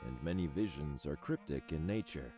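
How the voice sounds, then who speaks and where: An actor playing a solemn scholar who narrates lore books in a video game in a measured, formal way. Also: male voice, rumbling low, expressive. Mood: solemn, scholarly. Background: faint game music.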